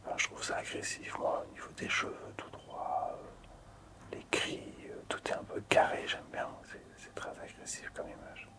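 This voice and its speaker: A man hosting casual ASMR in a breathy, unvoiced whisper, hushed, hesitant and appreciative. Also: normal speed. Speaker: ASMR video host.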